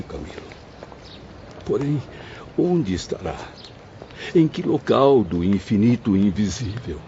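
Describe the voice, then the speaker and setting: A man playing a slow, grieving male spirit. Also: lowish voice, warm tone, a little hiss or hum. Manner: slow, anguished, pleading. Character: grieving male spirit, a former professor longing for his mother. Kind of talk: dramatized audiobook acting. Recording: dull lo-fi audio with music behind.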